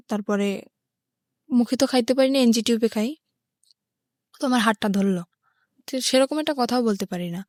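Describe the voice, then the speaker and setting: A woman's voice: high voice, no echo, studio quality. A young woman with a Bangladeshi accent, telling her life story as an interview guest.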